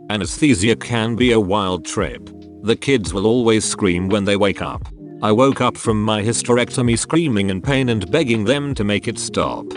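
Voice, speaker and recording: A man reading out forum stories as narration over background music. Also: on the low side. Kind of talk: read-aloud narration.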